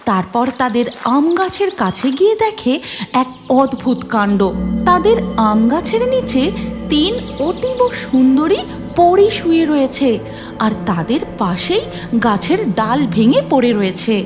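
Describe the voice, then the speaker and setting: A woman storyteller reads a scripted fairy tale at an unhurried pace. Her voice is very high, and music plays clearly behind her. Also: sing-song.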